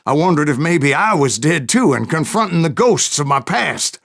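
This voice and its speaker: Gravelly old American gunslinger. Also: male voice, a little high, expressive, moderate pace, fairly bright tone, full character voice. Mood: rueful. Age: older. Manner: folksy, wry storytelling drawl. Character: grizzled old Wild West bounty hunter spinning tales. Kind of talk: dramatic first-person game narration.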